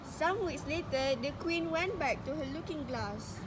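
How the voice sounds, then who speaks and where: A woman's voice: sits high, expressive, measured pace. A woman narrating a storybook tale from a script in an amateur drama. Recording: noisy background, outdoor-sounding.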